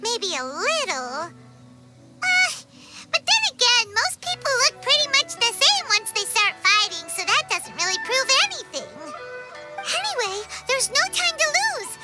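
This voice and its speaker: A tiny chirpy fairy sidekick for a video game. The voice is extremely high and squeaky, leaping around with exaggerated, childlike excitement. Prominent music plays behind it. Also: female voice, a little slow, heavily acted. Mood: pondering, eager. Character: tiny chirpy childlike fairy companion, talks in third person. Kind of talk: video game cutscene acting.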